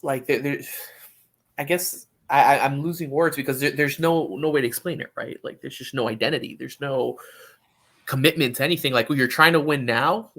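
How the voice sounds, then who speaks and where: A man co-hosting a sports podcast, giving opinions in casual talk and often halting to find his words. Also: mid-range voice, sharp tone. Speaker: podcast co-host. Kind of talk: casual soccer discussion.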